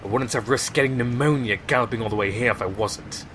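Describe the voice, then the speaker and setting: A man's voice: medium pitch, fast talker, fairly bright tone, lightly acted. A man playing a harried, grumbling pony police inspector in an audio drama, exasperated but matter-of-fact. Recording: noisy, with heavy rain behind.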